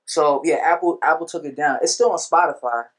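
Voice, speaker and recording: A man talking as a musician guest on a podcast, telling stories in a relaxed, slangy way. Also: fairly high pitch, brisk, rough recording. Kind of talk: casual podcast chat.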